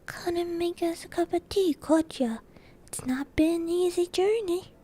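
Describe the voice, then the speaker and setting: Woman putting on a loud, slow giant. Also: very high-pitched, voice pushed up. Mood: gruff. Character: huge shaggy male giant, gruff but kindly.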